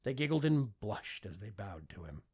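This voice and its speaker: A man narrating a fiction audiobook with expressive, scripted storytelling delivery. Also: lowish voice, moderate pace, husky.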